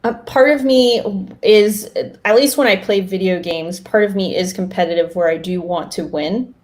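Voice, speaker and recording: A woman's voice: mid-range voice, moderate pace, a little mellow. A woman co-hosting a podcast, talking casually and informally, often acting out little asides as she tells things. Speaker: podcast co-host.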